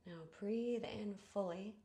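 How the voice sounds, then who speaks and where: A woman guiding a meditation in a soothing, hushed manner, with breathy phrase endings and long pauses. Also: mid-range voice. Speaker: yoga nidra guide. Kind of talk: guided meditation narration.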